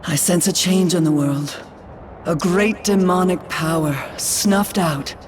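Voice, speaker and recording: An actress playing a stern, lofty angel commander who speaks slowly and solemnly, judging and proclaiming. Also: female voice, on the low side, noisy background. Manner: slow, solemn, proclaiming, judgmental. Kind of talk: game cutscene acting. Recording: game music clearly behind voice.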